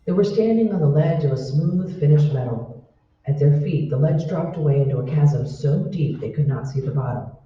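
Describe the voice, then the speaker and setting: A man's voice: medium pitch, mellow. A man narrating a scripted radio drama in a dramatic storytelling style. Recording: echoey hall, distant, muffled.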